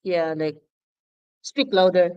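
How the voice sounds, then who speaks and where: A woman's voice: fairly low pitch, animated, slow, smooth, dry recording, dull audio. A woman from the Philippines with a Filipino accent, speaking English as a second language, chatting and explaining as co-host of a casual livestream.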